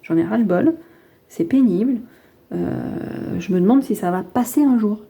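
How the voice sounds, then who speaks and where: A woman's voice: mid-range voice, expressive, moderate pace, vocal fry, warm tone, slightly rough, muffled recording. A woman with a head cold chatting casually to viewers as she reads tarot cards, hesitant and rambling as she thinks aloud. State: has a lingering head cold. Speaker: tarot card reader hosting a reading.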